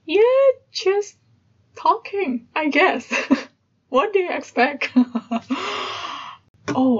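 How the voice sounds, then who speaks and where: A woman with an Indonesian accent talks slowly in a husky, breathy voice that sits a little high, pausing often as she thinks aloud. The recording is dull and lo-fi, with a hesitant, casual podcast feel. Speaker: podcast host. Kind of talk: casual solo podcast monologue. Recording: dull lo-fi sound with background noise.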